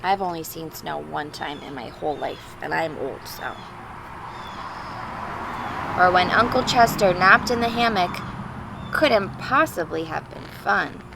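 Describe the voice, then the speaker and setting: A woman's voice: fairly low pitch, unhurried, gravelly, long pauses, background noise. A woman reading a storybook aloud to young children, expressively, with simple chatty asides and questions. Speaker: teacher reading a picture book aloud to children.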